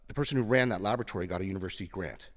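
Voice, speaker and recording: A man with a Canadian accent and a very deep voice speaks as a podcast guest. He tells his story reflectively, with pauses and repeated words. The room leaves a long reverb on his voice. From Canada, born in Kenya. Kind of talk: personal storytelling in an interview.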